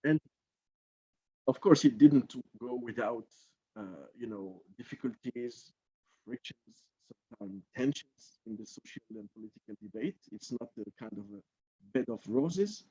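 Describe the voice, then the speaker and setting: A man's voice: medium pitch, expressive, normal speed, long pauses, dark tone, breathy edge. A man from Morocco who served as a member of parliament, giving a thoughtful talk at a mentorship forum, stopping often on fillers. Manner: thoughtful, hesitant with many fillers. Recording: slightly dull lo-fi audio.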